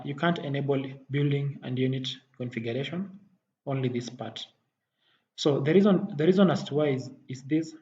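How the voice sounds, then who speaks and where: A man giving a software tutorial as a technical instructor, halting and often rephrasing as he explains. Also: medium pitch. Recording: dull muffled audio, faint background noise.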